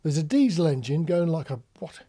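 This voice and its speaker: A British man gives an informal hands-on lesson in a plain-spoken, explanatory way. His voice sits fairly high and is lively in pitch, with a husky grain. He talks like an instructor who illustrates points with anecdotes. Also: a little fast. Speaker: marine radio instructor. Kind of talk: informal spoken tutorial with practical demonstrations.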